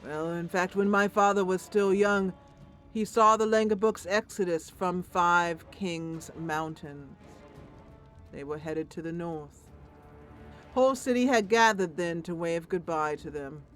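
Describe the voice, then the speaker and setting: A woman voicing a gloomy, fatalistic old male dwarf cleric for a fantasy roleplay, in a slow, resigned manner. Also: lowish voice, faint music behind, close to an everyday voice. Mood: mournful. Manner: slow, ponderous, resigned delivery.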